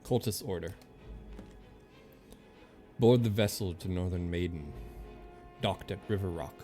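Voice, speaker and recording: A man's voice: low-pitched, a little slow, dark tone. A man streaming a video game, commenting casually and reading game text aloud. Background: faint game music.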